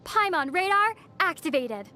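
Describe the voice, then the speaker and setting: High-voiced, teasing young heroine. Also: female voice, big pitch swings, a little slow, sharp tone, a little hiss or hum, full character voice. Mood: playful. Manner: playfully teasing, composed. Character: young female traveler heroine, calm, teasing, quick-witted. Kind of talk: video game character dialogue.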